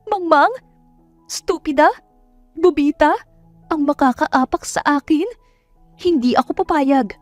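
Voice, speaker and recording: Woman acting a haughty, furious high-pitched female rival. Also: takes it slowly, long pauses, no echo, soft background music. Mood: outraged. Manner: indignant, haughty, spitting insults. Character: scornful, furious, jealous young rich woman rival.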